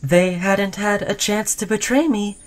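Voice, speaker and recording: Lovestruck sentient robot played by a woman, mid-pitched, clingy and earnest, pleading with a veiled threat, for an intimate sci-fi audio roleplay. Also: unhurried, lightly acted. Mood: quietly menacing. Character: obsessed, lovestruck sentient robot, clingy, caring yet menacing. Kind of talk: roleplay audio drama, intimate second-person monologue.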